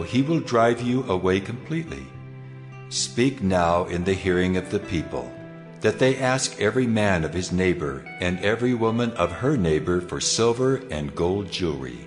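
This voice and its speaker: A man playing God in a dramatized scripture reading, solemn, measured and authoritative. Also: deep voice, sing-song, soft background music. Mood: solemn, commanding. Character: God, solemn commanding divine male voice.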